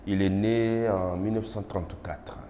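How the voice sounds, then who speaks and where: A middle-aged man from Côte d'Ivoire with an Ivorian French accent, whose first language is Baoulé, answering formal interview questions in a measured way with many hesitations. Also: lowish voice, fairly even intonation, mellow. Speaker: interviewee, magistrate. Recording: noisy roomy recording with long reverb.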